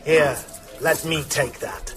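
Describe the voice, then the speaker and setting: A gravelly, slow-speaking male vampire, savouring every cruel taunt with theatrical menace. His pitch is high for a man and swoops widely, over music in a radio horror drama. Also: expressive. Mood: gloating scorn. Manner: theatrical, taunting, drawn-out delivery.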